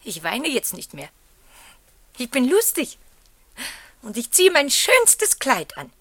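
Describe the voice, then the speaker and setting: An actress playing a wounded, emotional wife in a German radio play, dramatic, dropping into hushed tones. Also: female voice, sits high, huge pitch swings, slow, dry recording, exaggerated voice. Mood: forced gaiety.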